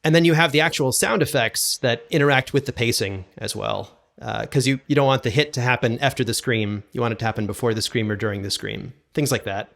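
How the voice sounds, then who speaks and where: A man with a mid-pitched voice talks casually as an interview guest. He sounds agreeable and enthusiastic, explaining his craft informally. He is recorded close on a studio condenser microphone. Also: brisk, gravelly, hardly any pauses, a bit bright. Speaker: podcast guest, audio drama producer and sound designer. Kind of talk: casual interview chat about audio production.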